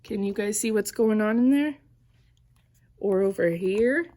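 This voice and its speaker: A woman teacher reading a storybook aloud to young children in an animated way, pausing to ask them questions. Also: medium pitch.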